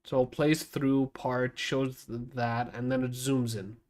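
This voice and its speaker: A man with a nasal, mid-pitched voice chats loosely on a livestream. He rambles and restarts his sentences as he works things out out loud. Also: fairly even intonation, unhurried. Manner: rambling, thinks aloud with many restarts. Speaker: streamer. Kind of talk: casual livestream talk while editing video.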